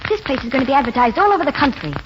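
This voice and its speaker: A woman's voice: high voice, expressive, rapid-fire. A woman playing a headstrong, disillusioned young American woman in 1940s radio drama style. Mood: urgent, practical. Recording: dull, faintly noisy 1940s radio recording.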